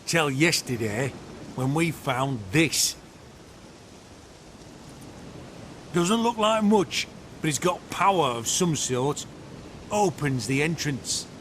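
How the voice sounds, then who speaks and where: A man's voice: lively intonation, slow, fairly bright tone. An actor playing a surly, contemptuous military commander who hunts witches in a fantasy game, with a brusque, scornful delivery. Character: surly, foul-mouthed male witch-hunter commander, contemptuous and hard. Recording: game audio with rumbling ambient noise.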